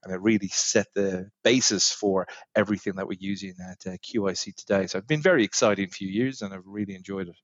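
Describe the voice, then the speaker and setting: A middle-aged Irish man, a technology executive, answering podcast interview questions in a measured way with frequent fillers. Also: sits low, no room sound, dull audio. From Ireland.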